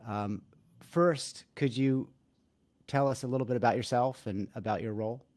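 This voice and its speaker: A man's voice: mid-range voice, normal speed, a little mellow. Friendly male podcast host. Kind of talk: podcast interview hosting.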